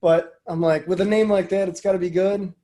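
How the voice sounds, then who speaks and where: High-pitched man hosting a chatty podcast. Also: steady tone, fairly quick, warm tone. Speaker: podcast and community radio host. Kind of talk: casual interview. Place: remote call.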